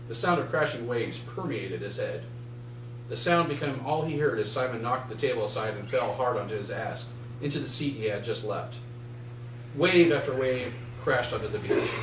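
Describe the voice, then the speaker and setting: The recording is roomy, with a faint hum of noise. A man with a middle-pitched voice reads dark fiction aloud like an author at a live event. He delivers it in deliberate, short phrases. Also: recorded from a distance. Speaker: author reading from own novel. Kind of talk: live dramatic prose reading. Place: indoor bookshop reading event.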